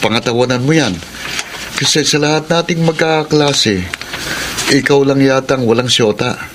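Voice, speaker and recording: A man's voice: mid-range voice, moderate pace, noisy background, natural voice. An actor playing Jonathan, a boastful, teasing young man in a radio drama, talking in a cocky way. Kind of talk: Filipino radio drama acting.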